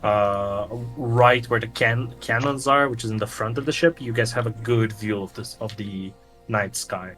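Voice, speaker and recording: Brazilian man, Portuguese native, casual GM narrator, halting, fillers. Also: fairly low pitch, a bit bright, faint music behind. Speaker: game master. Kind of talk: narrating a tabletop game.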